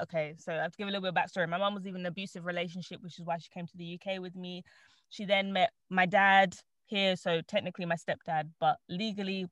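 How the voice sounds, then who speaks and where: A young woman, born in Trinidad and raised in the UK, chatting casually on a podcast panel, animated and quick to laugh. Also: fairly low pitch, no echo, highs cut off. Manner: chatty, animated, laughs often, many fillers.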